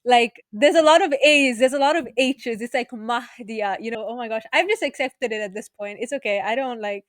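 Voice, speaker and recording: A woman's voice: a little high, no echo, studio quality. An eighteen-year-old woman from South Africa co-hosting a podcast, chatting casually with lots of "like". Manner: chatty, lots of "like", quick laughs. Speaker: podcast co-host. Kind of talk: casual chat.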